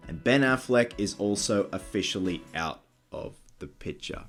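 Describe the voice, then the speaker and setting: A man with a stuffy, nasal voice from just waking up, hosting a casual news commentary vlog in a chatty, excitable fan manner. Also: on the low side, moderate pace, faint music behind. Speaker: vlog host.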